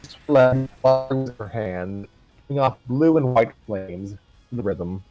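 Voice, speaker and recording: A man's voice: medium pitch, lively intonation, slow pace, dark tone, no room sound, dull audio, soft background music. A man reading a fan story aloud on a livestream, casually, with stumbles and asides.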